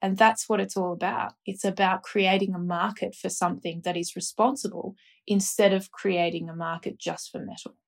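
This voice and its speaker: Woman on a panel, middle-pitched, confident, explains things with examples. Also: fairly even intonation, normal speed, vocal fry. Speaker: expert guest. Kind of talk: panel discussion.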